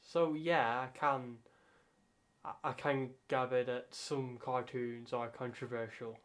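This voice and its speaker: A young man casually reacting to a video, talking in halting, rambling asides that he keeps correcting mid-sentence. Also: medium pitch, fairly even intonation.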